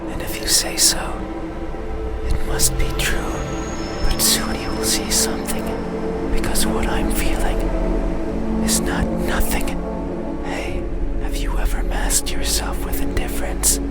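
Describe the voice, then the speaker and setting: A man playing a lonely, melancholy monster in a horror visual novel, whispering in a breathy voice with halting, formal, brooding phrasing. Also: very high-pitched, full character voice. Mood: brooding, intense. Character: lonely, melancholy male monster stalking a sleeper at night. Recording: whisper under loud music.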